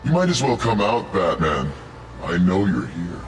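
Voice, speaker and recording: Cold menacing villain leader. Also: male voice, sits low, moderate pace, faint music behind. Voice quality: calm, threatening. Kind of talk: video game voice acting. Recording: game score under the voice.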